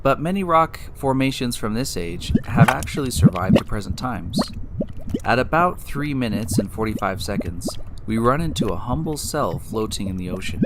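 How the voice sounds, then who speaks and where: A man hosting and narrating a scripted science story in a deliberate, explanatory way, speaking directly to the listener. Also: mid-range voice, animated, heavy background noise. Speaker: podcast host and narrator. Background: music.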